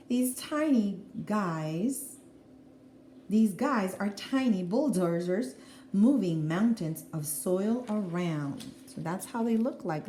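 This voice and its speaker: Animated woman reading aloud to children. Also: medium pitch. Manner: expressive, deliberate, addressing young children. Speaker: teacher reading a picture book aloud. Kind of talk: children's read-aloud with explanatory asides. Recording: roomy echo, faint background noise.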